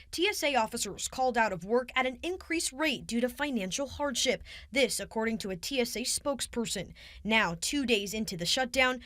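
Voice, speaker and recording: A woman's voice: a little high, dry recording, studio quality. An American woman delivering a broadcast news report as a reporter.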